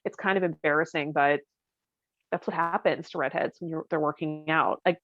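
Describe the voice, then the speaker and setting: A woman's voice: deep voice, fairly even intonation, normal speed, no echo, highs cut off. A woman co-hosting a podcast in casual comedic chat, with a giggly, self-deprecating manner. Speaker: podcast co-host.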